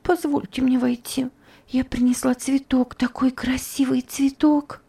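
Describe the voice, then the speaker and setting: A woman's voice: sits high, level tone, a little slow, gravelly, dark tone, breathy edge, recorded from a distance, pitched above the performer's own voice, heavily acted. A woman voicing a grief-stricken young girl who wails and pleads through tears. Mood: pleading. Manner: wailing, tearful.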